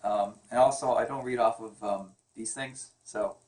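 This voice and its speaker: A man reads fiction aloud like an amateur, teasing and tripping over words as he goes. His voice is medium in pitch. It sounds slightly distant from the mic, with a clear room echo. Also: fairly even intonation, normal speed, a little mellow. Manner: joking, teasing, stumbles while reading. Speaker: comic artist reading wife's novel aloud. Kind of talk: amateur read-aloud with joking asides. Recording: roomy echo, away from the mic.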